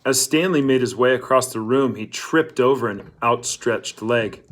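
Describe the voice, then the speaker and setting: A man's voice: mid-range voice, moderate pace. A man reading a novel aloud as scripted storybook narration. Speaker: audiobook-style reader.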